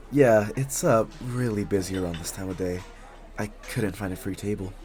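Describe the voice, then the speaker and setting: A man playing a very shy, friendly young writer in an intimate coffee shop roleplay, speaking hesitantly with nervous stammers and a husky voice. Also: fairly low pitch, steady tone, moderate pace, a little mellow, lightly acted. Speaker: audio roleplay voice actor. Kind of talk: intimate one-sided roleplay acting, casual chat.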